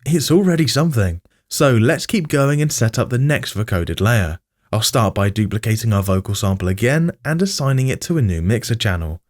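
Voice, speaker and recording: A man's voice: mid-range voice, a little mellow. A man narrating a scripted music production tutorial as its host.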